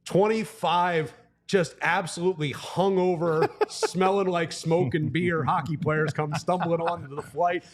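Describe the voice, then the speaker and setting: A man's voice: fairly high pitch, steady tone, measured pace. A man who works as a sports broadcaster, telling stories casually as a podcast guest. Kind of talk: casual interview storytelling.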